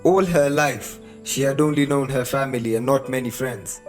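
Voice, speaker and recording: A Kenyan man narrates a scripted radio drama. His voice is medium in pitch, with music playing faintly underneath. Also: normal speed. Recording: music behind the voice. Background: background music.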